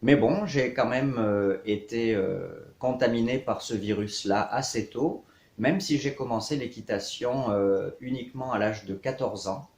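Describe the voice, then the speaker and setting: A man in his thirties from south-east France, a riding coach, introducing himself in measured, hesitant short phrases for an online course. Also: medium pitch, darkish tone. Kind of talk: scripted-outline self-introduction to camera for an online course. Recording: echoey, reverberant room.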